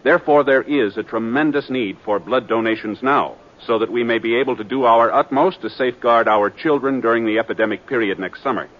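An American man reads as a 1950s radio announcer. His delivery is formal, earnest and carefully measured, in a middle pitch. The old broadcast recording is slightly muffled.

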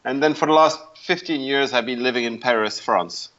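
A man's voice: mid-range voice, normal speed, fairly bright tone. A middle-aged man from South Africa telling his story as an interview guest in a chatty, self-deprecating way. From South Africa, after a childhood moving between countries. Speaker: interview guest, medical company CEO. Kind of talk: conversational storytelling. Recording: slightly dull, lo-fi connection.

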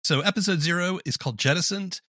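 A man's voice: high voice, fast talker, pushed and bright, no room sound, studio quality. A man hosting a podcast interview, summarizing and asking questions in a conversational way.

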